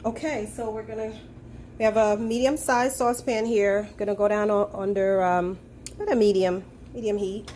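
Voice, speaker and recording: A woman with a stuffy, nasal head-cold voice talks her way through a cooking demo in a loose, chatty way, full of ums and asides. She is filming herself handheld in a home kitchen. Steady household noise sits clearly behind her voice. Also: mid-range voice, normal speed. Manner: chatty, relaxed, many ums. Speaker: private chef. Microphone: handheld phone camera.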